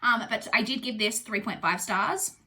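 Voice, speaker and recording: A woman's voice: a little high, moderate pace, pushed and bright. A young adult woman with a blocked-up, nasal voice from a cold, chatting casually through a book review with lots of ums and likes. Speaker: booktuber host.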